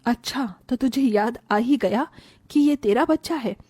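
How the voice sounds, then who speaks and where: A woman playing a stern, worried mother-in-law in an animated family story, speaking in an emphatic, scolding way. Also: fairly high pitch, measured pace, husky, no echo. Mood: stern, reproachful. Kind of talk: animated Hindi moral story dialogue.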